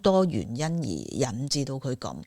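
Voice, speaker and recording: A woman's voice: rumbling low, wildly swooping pitch, normal speed, dark tone, dry recording, clean studio sound. A woman with a Hong Kong Cantonese accent explaining things conversationally as a psychologist guest, dropping English terms into her speech. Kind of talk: conversational expert explanation on a radio talk.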